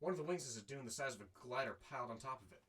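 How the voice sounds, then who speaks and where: A man's voice: mid-range voice, animated, racing, a bit bright. A man playing a weary, wry desert courier who narrates his own adventure in an audio drama. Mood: excited. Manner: wry, self-talking. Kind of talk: first-person narration and amateur audio drama acting.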